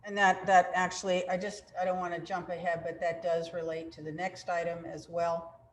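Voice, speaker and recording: A woman serving as mayor, chairing a village council meeting and calling the roll in a brisk, procedural way. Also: fairly low pitch, level tone. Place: council chamber. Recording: echoey council chamber with faint noise.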